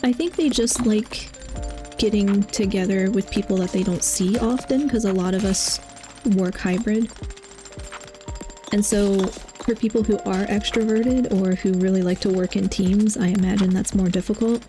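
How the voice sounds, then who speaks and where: A young woman streaming a game and chatting casually about her day, confiding and laughing often, a little hoarse as she starts losing her voice. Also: mid-range voice, fairly even intonation, normal speed, mellow, faint music behind. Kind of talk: casual chat while playing a game.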